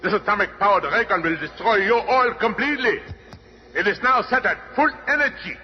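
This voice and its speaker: A pompous, threatening supervillain in an old radio play. His high voice swoops dramatically in a long cavernous reverb, slightly muffled. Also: expressive, brisk. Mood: gloating. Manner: pompous, theatrical. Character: gloating male supervillain, grandiose and threatening. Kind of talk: 1970s radio drama acting. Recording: muffled, reverberant radio recording with faint noise.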